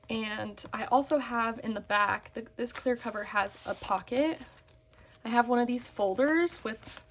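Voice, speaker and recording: A young woman, slightly hoarse after a concert, chatting casually as she shows her planners on camera. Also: fairly high pitch, slight background noise. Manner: chatty, with fillers and frequent laughs. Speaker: planner vlogger. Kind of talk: casual show-and-tell chat. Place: indoors at a desk, hands on a table.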